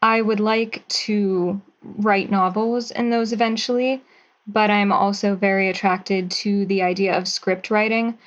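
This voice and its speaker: Slow-speaking young woman on a dull line. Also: medium pitch. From Pennsylvania, USA. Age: 20s. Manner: measured and thoughtful, with some hesitation fillers. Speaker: writer and guest. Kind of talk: interview answers.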